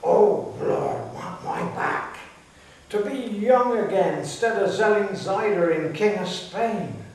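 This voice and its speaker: A man voicing an excited, wistful old gardener, calling out in rustic exclamations. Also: sits high, takes it slowly, pitched above the performer's own voice. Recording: distant, echoey hall, some hiss.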